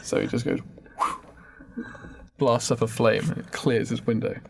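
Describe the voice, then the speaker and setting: A man with an average-pitched voice chats as a podcast co-host. He improvises a story in halting, informal phrases. There is faint noise on the recording. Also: fairly even intonation, gravelly. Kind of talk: improvised storytelling, casual chat.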